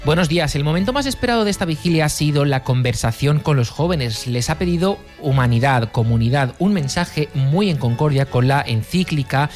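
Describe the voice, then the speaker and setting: A man's voice: fairly high pitch, expressive, brisk. Male news reporter over faint music.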